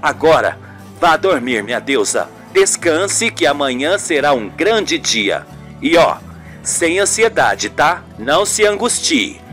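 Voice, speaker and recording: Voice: medium pitch, sing-song, slow, fairly bright tone, faint music behind, pitched below the performer's own voice. A performer voicing a tender, devout boyfriend in an amateur radio soap, reassuring in solemn, florid phrases. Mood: tender, earnest.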